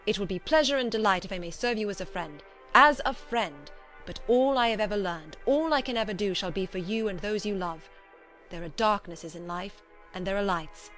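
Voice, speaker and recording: Woman performing an effusive old foreign gentleman doctor. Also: mid-range voice, animated, moderate pace, faint music behind, lightly acted. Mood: effusive, solemn. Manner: effusive, emphatic, formal broken English. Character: kindly old foreign male doctor, effusive, solemn, courtly.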